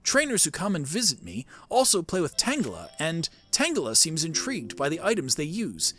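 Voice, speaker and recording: A man's voice: fairly high pitch, huge pitch swings, brisk, a bit bright. Guy doing a kindly old professor from a game, musing n curious, voice swings up and down, music behind. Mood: fond, musing. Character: kindly, curious grandparent professor fascinated by creatures. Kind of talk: reading a game diary aloud in character.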